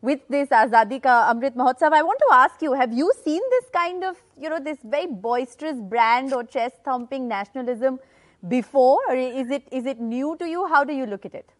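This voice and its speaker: A woman hosting a panel discussion, asking probing questions in a measured, articulate way. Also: high voice, expressive. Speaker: host.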